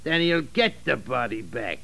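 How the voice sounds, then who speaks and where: An actor playing a tough, scheming gang boss in 1940s radio drama style, with gravelly, forceful talk. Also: male voice, medium pitch, sing-song, a little slow, heavily acted. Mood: scheming, urgent.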